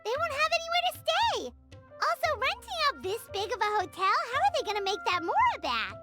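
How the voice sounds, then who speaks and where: Tiny, very high-pitched chirpy companion. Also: female voice, huge pitch swings, fairly quick, heavily acted. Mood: puzzled. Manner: inquisitive, exclaiming. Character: small childlike floating companion, chirpy and inquisitive. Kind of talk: video game dialogue. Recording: game music playing behind.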